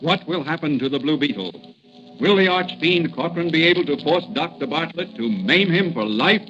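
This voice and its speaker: A man's voice: on the high side, animated, normal speed, music in the background, lightly acted. A male announcer narrating a 1940s radio serial in a declamatory, suspenseful manner, on a muffled, hissy old recording. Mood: dramatic, suspenseful.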